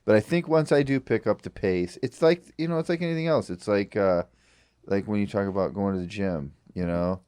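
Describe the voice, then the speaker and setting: A man with a very low, deep voice talks on a comedy podcast in a deadpan, halting way, stumbling over fillers. His voice is close and dry, with no room sound at all. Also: smooth, dry recording. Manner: flat, halting delivery with many fillers. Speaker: podcast co-host. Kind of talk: deadpan comedy podcast banter, mock ad read.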